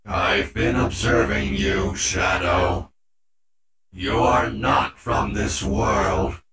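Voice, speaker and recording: A man voicing a cold, menacing male war robot in a fan audio drama, speaking flatly and mechanically. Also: deep voice, extremely slow, reverberant, recorded from a distance, exaggerated voice. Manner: flat, mechanical, threatening.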